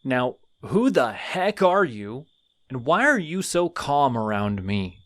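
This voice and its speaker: Friendly, sheepish male skeleton, voiced by a man, mid-pitched and slow-spoken, audio roleplay. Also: very animated, fairly bright tone, dry recording, studio quality. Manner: rambling, sheepish, talks to himself. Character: friendly, chatty male skeleton digging up graves.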